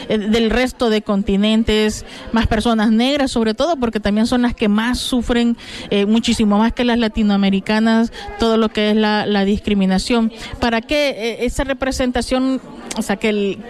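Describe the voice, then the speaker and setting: A woman's voice: fairly high pitch, darkish tone. Woman, middle-aged, from El Salvador, councillor, earnest, many fillers and restarts. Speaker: city councillor. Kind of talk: interview answers.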